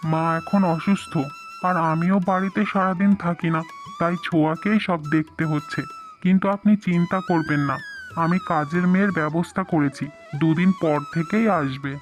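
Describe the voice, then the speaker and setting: A man's voice: on the high side, steady tone, normal speed, natural voice. Man acting as devoted young husband, earnest, emotional, repeated phrases, cartoon melodrama, background music. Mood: defensive.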